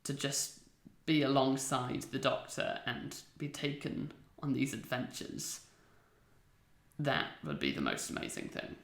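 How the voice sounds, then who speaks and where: A man's voice: medium pitch, big pitch swings, a little slow, fairly bright tone. A man giving casual interview answers as a fan audio drama cast member, in a roomy, echoing recording.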